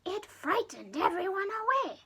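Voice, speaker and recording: Woman as a gushing, high-voiced gentle monster. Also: big pitch swings, normal speed, exaggerated voice. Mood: grateful. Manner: gushing, plaintive, polite. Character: gentle, grateful hungry giant monster finally speaking. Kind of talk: children's storybook read-aloud.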